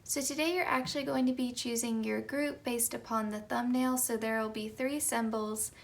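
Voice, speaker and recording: A young woman doing a casual tarot reading. She has just woken up and her voice is slightly raspy, and she talks in a chatty way with soft whispered asides. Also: on the high side, steady tone, moderate pace. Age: young adult. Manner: chatty, light laughs, soft whispered card calls. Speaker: tarot reader.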